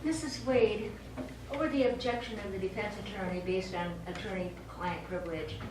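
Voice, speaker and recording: An actress in a live stage play as a formal, businesslike judge presiding over a court. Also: female voice, medium pitch, normal speed, natural voice. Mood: official. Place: small theatre studio, distant microphone. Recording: echoey, noisy stage recording from far off.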